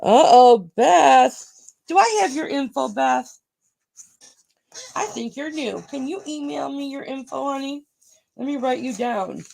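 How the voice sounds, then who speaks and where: Gravelly groggy-voiced woman auction host. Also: sits high, animated, unhurried, darkish tone, rough recording. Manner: chatty, calls viewers honey. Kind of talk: live-stream sales chat.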